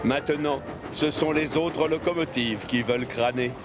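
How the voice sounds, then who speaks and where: A man's voice: medium pitch, moderate pace. A man narrating a scripted children's story. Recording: music behind the voice. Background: cartoon music.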